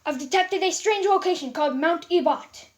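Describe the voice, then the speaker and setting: A woman's voice: very high voice, normal speed, pitched above the performer's own voice. A woman voicing a serious, commanding female gem alien leader with an emphatic manner in a story. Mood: resolute.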